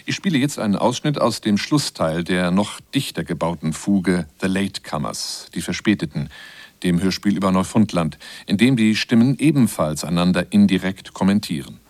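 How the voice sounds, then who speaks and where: A man's voice: low voice, expressive, moderate pace, a little mellow, no room sound. A man presenting a radio feature in scripted narration.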